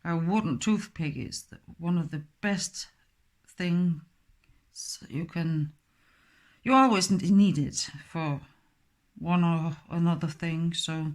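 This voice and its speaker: Slow-talking woman with a Danish accent. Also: lowish voice, darkish tone. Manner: slow, hesitant, apologetic, with many fillers. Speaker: craft tutor. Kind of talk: step-by-step craft tutorial.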